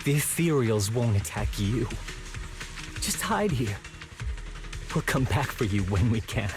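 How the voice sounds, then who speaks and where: A man's voice: mid-range voice, animated, unhurried, natural voice. A man voicing an earnest, trusting outcast watcher in a video game, speaking with a wondering, slightly hesitant manner. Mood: wounded, resigned. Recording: music behind the voice. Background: game music.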